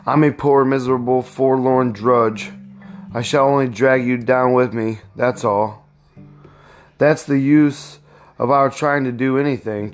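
A man's voice: mid-range voice, close to an everyday voice. A bitter, despairing young husband in a storybook speaks with heavy anguish, as a man reads his lines aloud. He sounds resentful and worn down, and the voice is an everyday male reading voice with only a little lift.

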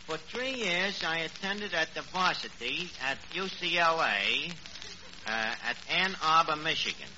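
An actor playing a dim, bluffing, uneducated city man with a halting, muddled delivery, in 1940s radio comedy style. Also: a little high, lively intonation. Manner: halting, muddled, mangling words. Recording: muffled, noisy old radio broadcast.

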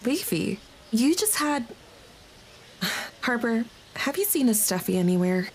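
A woman's voice: on the high side, big pitch swings, measured pace, slight background noise, low-quality recording. A woman playing a hardcore, no-nonsense, big-hearted single mother in a comedy audio drama, blunt and protective. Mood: blunt, motherly.

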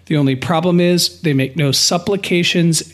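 A man co-hosting a podcast, discussing analytically in a deliberate, slightly formal conversational way. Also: fairly high pitch. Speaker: podcast co-host.

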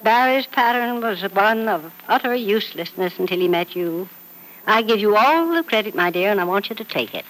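Wealthy elderly matriarch, played by a woman, frank and grandly fond, lively swooping delivery, old radio soap recording. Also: mid-range voice, moderate pace, a little hiss or hum, natural voice, low-quality recording. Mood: emphatic praise. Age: older. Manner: emphatic, theatrical, grandly affectionate. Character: elderly wealthy mother, frank, doting, imperious. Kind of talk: 1940s radio soap opera acting.

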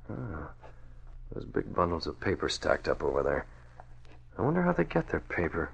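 An actor playing a resolute, calculating masked crimefighter in a 1940s radio drama, speaking in a measured, self-assured way. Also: male voice, deep voice, vocal fry, breathy edge, full character voice. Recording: dull old radio recording.

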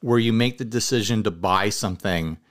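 A man chatting casually as a podcast co-host, telling stories in an animated way and slipping into mock quotes. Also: on the low side, brisk. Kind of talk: casual chat about hobby gear.

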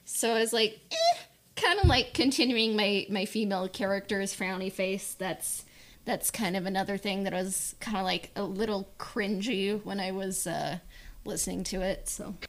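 A woman's voice: mid-range voice, moderate pace. A woman in casual discussion as a podcast panelist, giving opinions in a hesitant, hedging, informal way. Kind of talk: casual chat, opinion on a book.

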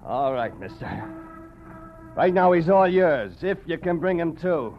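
A man's voice: a little high, sing-song, a little slow, slight background noise. An actor playing a sneering, menacing tough-guy thug in an old radio drama. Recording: dull lo-fi old radio broadcast.

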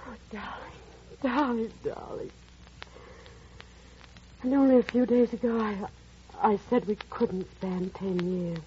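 A woman's voice: on the high side, fairly even intonation, a little slow, pauses often, warm tone, natural voice. A woman playing a poised, tender and anxious businesswoman in an old-time radio drama, with emotive, theatrical delivery. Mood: hesitant, tender. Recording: muffled, noisy vintage radio recording.

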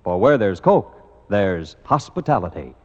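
A man's voice: lowish voice, sing-song, unhurried. A man reading scripted copy as a 1950s American radio show announcer. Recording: dull old radio recording, reverberant.

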